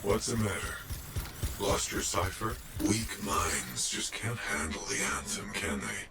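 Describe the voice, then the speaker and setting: Slow, menacing deep warlord villain. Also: male voice, animated, gravelly, music in the background. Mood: taunting, contemptuous. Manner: taunting, slow, deliberate. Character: menacing, mocking male villain warlord, fanatically devoted. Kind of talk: cinematic video game acting.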